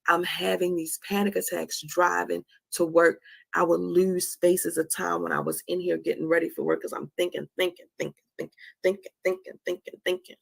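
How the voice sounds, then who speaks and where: A woman's voice: lowish voice, level tone, moderate pace, creaky, slightly rough. A woman in her forties giving a candid motivational testimony as a host, emphatically repeating phrases for effect. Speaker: host of a live talk on anxiety.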